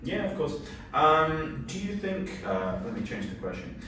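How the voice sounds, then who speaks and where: A man runs a speaking exam, asking his questions patiently and filling pauses with ums. His voice comes from a distance in a very echoey room. Faint background noise sits underneath. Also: fairly high pitch, very animated, pushed and bright. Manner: patient, with frequent fillers. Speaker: speaking test examiner. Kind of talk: conducting a mock exam.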